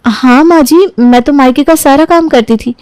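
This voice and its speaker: A woman plays a young bride in a moral tale, her voice fairly high. She is dutiful and polite, deferring to her elders, in a dry recording. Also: normal speed, darkish tone, dry recording, close to an everyday voice. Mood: deferential. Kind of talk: acted dialogue in a Hindi family storybook tale.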